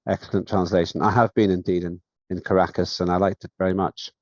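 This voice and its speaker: A man chairing a parliamentary session over a video call, speaking in a measured, halting way with many fillers. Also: low-pitched, flat delivery, no echo. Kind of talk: formal remarks at an online session. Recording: dull, dry lo-fi sound.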